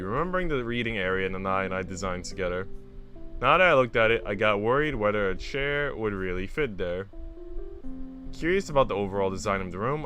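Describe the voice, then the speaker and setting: A man's voice: fairly low pitch, pushed and bright. A man streaming a visual novel, moving between reading the story aloud and casual, slangy, chatty asides. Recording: music behind the voice. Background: music.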